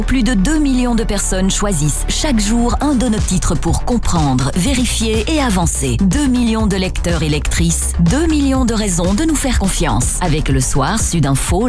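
A woman reading a scripted radio commercial. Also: mid-range voice. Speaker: ad voice-over. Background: music.

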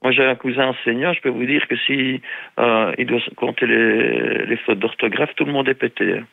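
A man in his forties, calling in to a radio show by phone, talking haltingly with many restarts. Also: medium pitch, steady tone, vocal fry, no room sound. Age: 40s. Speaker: caller. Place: in Spain. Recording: tinny phone line.

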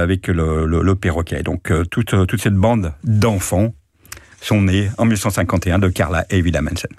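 A low-voiced man speaks as a guest in a dry studio. He tells his story slowly, restarting and repeating words as he searches for them. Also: darkish tone, no echo. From France. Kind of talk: radio interview.

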